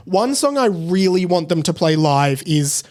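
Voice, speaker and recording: A young Australian man hosting a casual podcast. His voice sits a little high for a man, and he talks in an enthusiastic, gushing, chatty way. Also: sing-song, a little slow, a little mellow. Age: late 20s. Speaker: podcast host.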